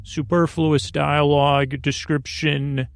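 Creaky, meandering male bedtime podcaster. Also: fairly high pitch, little pitch movement. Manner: meandering, halting, goofy, digressive. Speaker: podcast host. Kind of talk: rambling bedtime podcast monologue.

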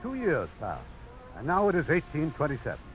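A man's voice: a little high, huge pitch swings, brisk, poor audio. A man hosting and narrating 1950s radio drama, formal and dramatic. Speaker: radio host, producer and narrator. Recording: old radio broadcast. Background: music.

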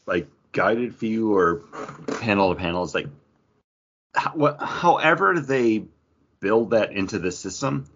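A man's voice: on the low side, lo-fi sound. A man co-hosting a podcast, giving his opinions in casual, conversational talk. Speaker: podcast co-host reviewing comic books.

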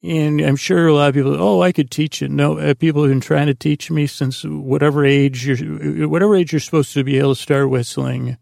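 Very gravelly, creaky-voiced man rambling. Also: a little high, fairly even intonation, darkish tone, no echo, clean studio sound. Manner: meandering, halting, self-deprecating, goes off on tangents. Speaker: podcast host. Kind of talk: rambling sleep podcast monologue.

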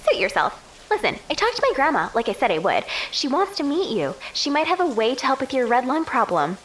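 A woman playing an excitable, carefree young woman in an audio drama, gushing in slangy, sing-song phrases. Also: sits high, a little fast, slight background noise, poor audio. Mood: excited, breezy.

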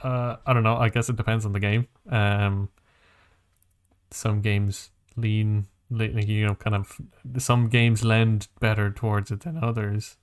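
A man's voice: on the low side, flat delivery, a little slow, smooth. A man chatting casually as a podcast host in a rambling, hedging, informal way. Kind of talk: casual chat about games and films.

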